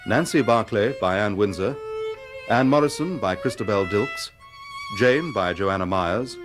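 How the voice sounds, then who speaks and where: Broadcast announcer: man, measured and formal, fairly low voice, fiddle music playing behind. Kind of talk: broadcast closing credits announcement. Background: violin music.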